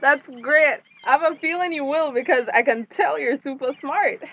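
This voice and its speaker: A woman's voice: sits high, moderate pace, fairly bright tone, rough recording. An actress playing a polite, inquisitive woman visitor in a screen drama.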